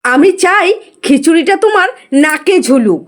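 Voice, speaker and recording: A woman voicing a greedy, scolding farmer's wife in an animated fairy tale, nagging and insistent. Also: very high-pitched, moderate pace. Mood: angry.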